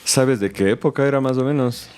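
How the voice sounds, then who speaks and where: A man's voice: mid-range voice, fairly quick, a little mellow, clear, resonant tone, dry recording, studio quality. A man, hosting a podcast and asking curious, informal interview questions.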